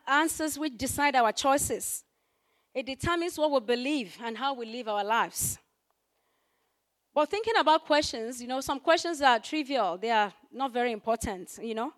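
A woman with a croaky voice preaching to a church congregation, talking in a conversational way with many fillers and rising in force on scripture. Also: sits high. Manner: conversational, peppered with "you know" and fillers; rises in force for scripture and emphasis. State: croaky voice recovering from voice loss. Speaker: guest preacher. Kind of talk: sermon.

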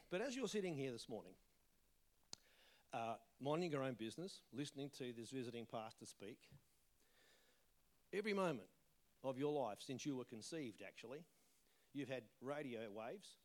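Preacher fighting off a cold. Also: male voice, medium pitch, lively intonation, normal speed, pauses often. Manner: conversational, joking asides, emphatic rises on key words. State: tail end of a cold, occasional croak. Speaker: visiting pastor. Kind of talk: sermon with prayer. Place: church auditorium.

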